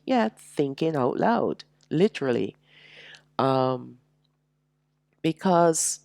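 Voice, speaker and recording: A woman teaching a slow, reflective sermon, sounding nasal and congested from allergies, with drawn-out, emphatic phrasing. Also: extremely low pitch, creaky, a little mellow, no room sound. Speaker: religious teacher.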